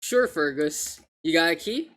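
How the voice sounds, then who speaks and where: A man with a gravelly voice hosting an esports livestream, mixing casual slangy chat and game commentary. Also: on the high side, expressive, a little slow, fairly bright tone. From Philippines. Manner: informal, gamer slang, joking asides. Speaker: esports caster, streamer hosting the match. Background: video game sounds and announcer.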